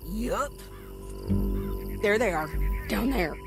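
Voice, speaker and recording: Male frontier bounty hunter, American; gritty fry, wry, cocky, folksy game-cutscene delivery. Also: high-pitched, animated, takes it slowly, over loud music, heavily acted. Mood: matter-of-fact, coaxing. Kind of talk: video game cutscene acting.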